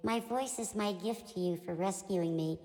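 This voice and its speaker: A woman's voice: medium pitch, moderate pace, a little mellow, lightly acted. A woman voicing a plaintive, delicate magic harp with a maiden's face in a radio play, melodramatic and pleading. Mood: tender wonder. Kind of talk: live radio play acting. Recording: long hall-like reverb.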